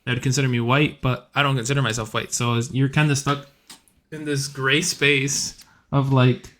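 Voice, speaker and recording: A man's voice: medium pitch, little pitch movement, moderate pace, fairly bright tone. A man co-hosting a casual podcast chat, laid-back and rambling, with a faint Puerto Rican accent and a habit of swearing. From United States. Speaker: podcast co-host.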